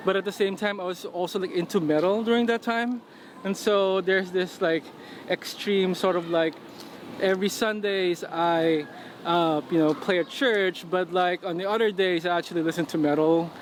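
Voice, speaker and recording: A man from the Philippines with a slightly high voice chats loosely in an interview, filling his sentences with "you know" and "like". He is recorded outdoors on a city street, and clear traffic noise runs behind him. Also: measured pace. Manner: relaxed, many fillers like "you know". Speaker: interviewee, musician. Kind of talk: casual interview.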